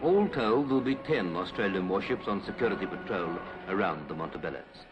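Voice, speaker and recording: Low-voiced British man narrating a 1950s documentary. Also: animated, fairly quick, poor audio. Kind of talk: 1950s British public information film narration, scripted. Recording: 1950s film soundtrack with music.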